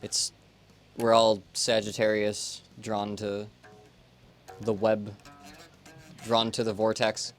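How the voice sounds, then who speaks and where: A man's voice: on the low side, slow pace, long pauses, soft background music. Laid-back man in his twenties rambling. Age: 20s. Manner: relaxed, rambling, many fillers. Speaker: streamer. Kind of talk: casual chat on a livestream.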